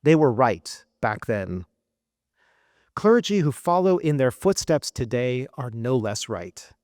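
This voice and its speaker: A middle-aged American man giving a formal keynote speech at a gala, with an earnest, emphatic delivery and measured pauses. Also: medium pitch, sing-song, darkish tone, dry recording, studio quality. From United States. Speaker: keynote speaker. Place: event hall.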